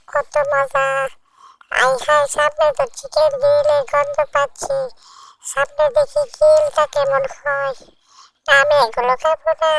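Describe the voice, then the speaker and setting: A little female cartoon tailorbird, acted by a woman, childlike and greedy, exclaiming to herself. The voice sits high and swings widely, with a creaky edge. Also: a bit bright, heavily acted, low-quality recording. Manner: exclaiming, wonder-struck, self-talking.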